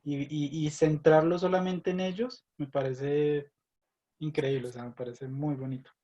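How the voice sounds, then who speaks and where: Man, mellow dark voice, hesitant, many fillers, lo-fi call sound. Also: on the high side, fairly even intonation, a little slow. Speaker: Bible study participant. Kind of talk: casual Bible discussion.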